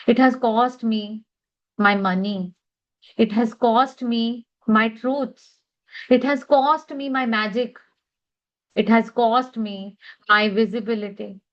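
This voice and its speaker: A woman leading a guided tapping session, speaking affirmations in a slow, measured way. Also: fairly high pitch, dull audio.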